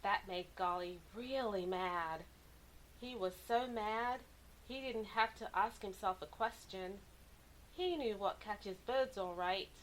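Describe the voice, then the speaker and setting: A woman reading a children's story aloud with animated, deliberate, dramatic expression. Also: mid-range voice, a little hiss or hum, rough recording. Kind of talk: expressive picture-book narration for kids.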